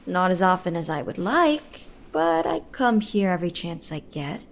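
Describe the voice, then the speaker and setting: A woman's voice: on the low side, sing-song, normal speed. A woman acting as a coy, flirtatious friend of an ice fisherman in a comic audio drama, with suggestive delivery.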